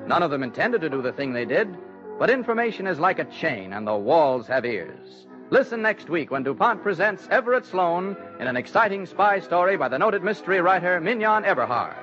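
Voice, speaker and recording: A man's voice: sits high, sing-song, brisk, a bit bright, faint music behind. A man with an American accent reading a script as a formal 1940s radio announcer. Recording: muffled 1940s radio recording.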